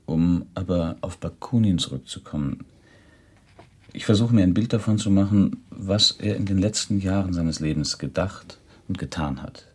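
A formal reporter in a radio play, with a very deep voice and a husky edge. He is courteous yet persistent, putting careful, probing questions. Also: moderate pace, darkish tone. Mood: courteous, insistent. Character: polite, persistent German reporter conducting interviews. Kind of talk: 1970s German radio play acting.

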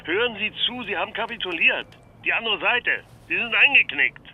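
An actor playing a brisk male lawyer in a radio drama, pressing and businesslike on a telephone call. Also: high voice, expressive, a little hiss or hum. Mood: excited, insistent.

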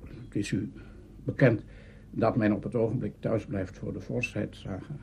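An older man reminiscing, halting as he searches his memory. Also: on the low side, fairly even intonation, creaky, a little mellow. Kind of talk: reminiscing talk. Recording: dull, faintly noisy archive recording.

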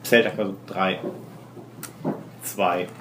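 A man's voice: sits low, steady tone, slow. A man running a tabletop role-playing game as game master, explaining scenes and often rephrasing himself mid-sentence. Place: indoor room with other players. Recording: roomy, noisy group recording with clicks.

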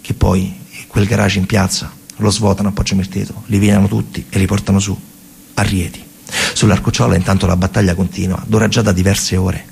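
A man with a Roman accent delivering a dramatic theatre monologue in a colloquial, emphatic way, his voice husky and gravelly. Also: low-pitched, level tone, a little fast, darkish tone, slight background noise. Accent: Roman Italian. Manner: colloquial, emphatic storytelling with repeated phrases. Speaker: stage actor and storyteller.

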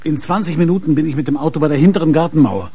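Terse hard-boiled police inspector, highish voice. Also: male voice, rapid-fire, dark tone, slightly rough. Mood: urgent, controlled. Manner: terse, urgent, giving orders. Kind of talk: radio crime drama acting.

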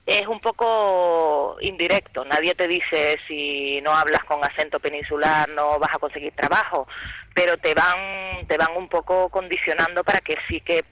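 A woman's voice: deep voice, fairly even intonation, normal speed, fairly bright tone, no echo, low-quality recording. A woman with a Canarian accent, an actress and journalist speaking in a casual panel discussion, thoughtful and lifting her voice when acting out imagined questions. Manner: reflective, rising emphasis when voicing imagined questions.

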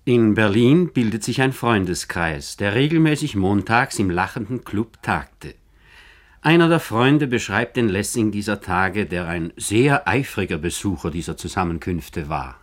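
A man with a low-pitched voice narrates a literary documentary for radio. He speaks in deliberate, declarative statements, setting out each point formally. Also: lively intonation.

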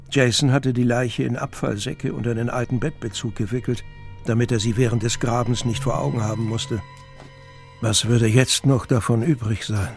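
A man's voice: medium pitch, a little mellow. A man narrating a scripted audio drama in German as the storyteller. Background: music behind the voice.

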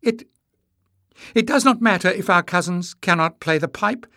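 A man voicing an earnest, nervous young musician in an audiobook, with a high voice. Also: lively intonation, normal speed, dry recording, studio quality, natural voice. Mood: nervous, defiant. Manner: stammering, earnest. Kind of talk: acted audiobook dialogue.

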